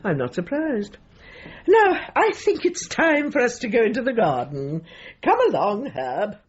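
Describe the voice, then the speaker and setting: An actress playing a haughty, brisk older dog trainer in a radio comedy drama, with a British accent and an imperious, old-fashioned, patronising manner. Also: female voice, fairly high pitch, wildly swooping pitch, slightly rough, lo-fi sound, heavily acted. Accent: British English.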